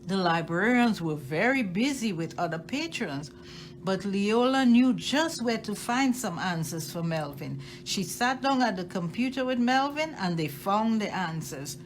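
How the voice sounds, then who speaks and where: A woman reading a children's picture book aloud expressively, with deliberate phrasing and emphasis on key words. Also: medium pitch, lively intonation, slight background noise. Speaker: storytime reader at a library.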